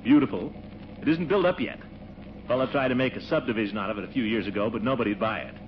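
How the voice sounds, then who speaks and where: A man's voice: medium pitch, fast talker, lightly acted. An actor playing a blustery, eager, pompous middle-aged American man in a 1940s radio comedy. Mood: boastful, cheery. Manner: blustery, exclaiming, hemming. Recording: muffled, noisy 1940s radio recording.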